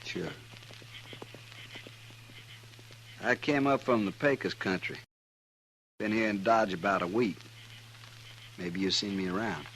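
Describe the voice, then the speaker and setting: Menacing male saddle tramp, low voice, needling, faint vintage hiss. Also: normal speed, a bit bright. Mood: cool, challenging. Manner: needling, insinuating. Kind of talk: 1950s radio western acting. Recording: noisy 1950s radio drama recording.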